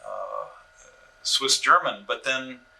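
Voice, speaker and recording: A man interviewing an older family member, gently prompting him and rephrasing his questions again and again. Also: mid-range voice, wildly swooping pitch, slow, vocal fry. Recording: distant mic on old videotape.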